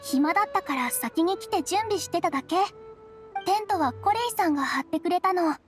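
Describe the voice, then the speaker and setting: High-pitched, timid young researcher. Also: female voice, expressive, fairly quick, over music. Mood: modest. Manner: polite, modest, hesitant. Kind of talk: anime video game voice acting.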